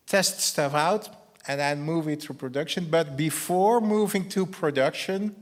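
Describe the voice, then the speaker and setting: A man with a Dutch accent giving a technical talk and answering questions as a conference speaker, halting and often repeating his words. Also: fairly high pitch. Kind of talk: technical presentation and audience Q&A.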